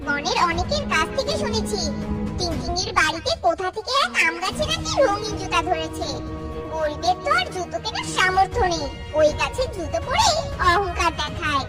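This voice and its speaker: A woman's voice: very high voice, sing-song, normal speed, distant mic, loud music behind the voice. A woman voicing a spiteful villainous mother bird in a children's cartoon, indignant and dramatic. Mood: vengeful. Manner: indignant, scheming.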